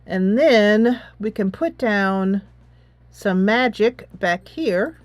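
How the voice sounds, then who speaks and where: Woman, gaming host, casual commentary, thinking aloud, slow pace, dry sound. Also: mid-range voice, expressive, no echo. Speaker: gaming channel host playing a tactics game.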